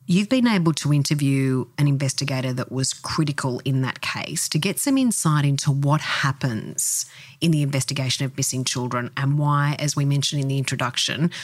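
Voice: mid-range voice, no room sound. A podcast host with a mid-range voice. The delivery is scripted presenting, captured in a dry, close sound.

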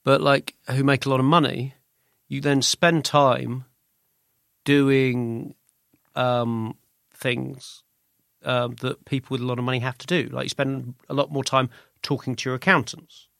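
A British man speaks as a musician being interviewed, with a creaky edge to a mid-pitched voice. He talks informally and loosely, circling back to correct himself. The sound is dry, with very little room in it. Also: fairly even intonation, measured pace, a bit bright, no echo. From Britain. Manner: rambling, self-correcting, informal. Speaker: musician guest, singer-songwriter. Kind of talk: casual interview chat.

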